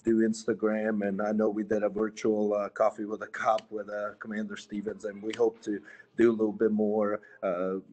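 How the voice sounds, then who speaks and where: A man from Bosnia, a police sergeant, introducing himself at a virtual community meeting with many halting fillers. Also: on the low side, completely flat delivery, a little mellow.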